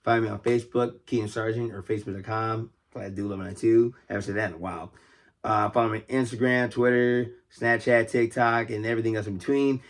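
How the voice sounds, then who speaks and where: A man's voice: lowish voice, steady tone, fast talker. An American man hosting a casual, unscripted vlog as a toy collector, talking in a rambling, conversational way and often repeating himself. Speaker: toy collector and video host.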